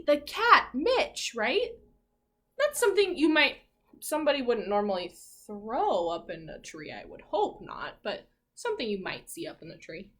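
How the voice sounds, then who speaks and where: A woman teacher reading a picture book aloud to children in an expressive, encouraging way, pausing to ask her listeners questions. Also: medium pitch.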